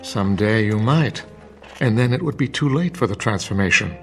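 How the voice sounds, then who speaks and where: An actor playing a paternalistic state psychiatrist in a radio drama, speaking in a formal, measured, authoritative way. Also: male voice, on the low side, animated. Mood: persuasive. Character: smooth, paternalistic, insistent male state psychiatrist professor. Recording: music bed under voice.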